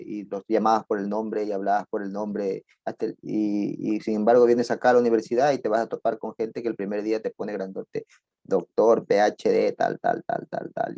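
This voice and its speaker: Middle-aged professor, mellow dark voice, digressive classroom chat. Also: male voice, on the low side, no echo, highs cut off. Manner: digressive, chatty, with asides and laughs. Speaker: university lecturer. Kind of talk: conversational online lecture.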